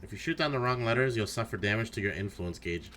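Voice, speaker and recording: A man's voice: on the low side, lively intonation, bright, forceful tone, soft background music. A man doing brash, sweary, casual commentary as he plays and reads out a game. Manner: brash, sweary, quick to laugh. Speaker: game streamer reading a character aloud.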